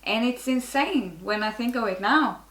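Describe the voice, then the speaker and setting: A woman's voice: on the high side, normal speed, fairly bright tone. Norwegian woman with an echoey sound. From Norway. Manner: frank, restarts often, laughs at herself. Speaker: guest, network marketer. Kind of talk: casual interview chat.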